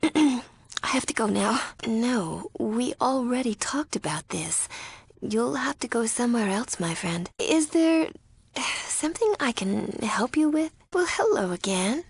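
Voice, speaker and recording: A woman's voice: a little high, lively intonation, a little slow, creaky, slightly rough, no room sound, exaggerated voice. Wary, sardonic young woman, close and dry. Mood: polite, evasive. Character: wary, sardonic young female spy posing as cult follower. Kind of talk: video game character dialogue.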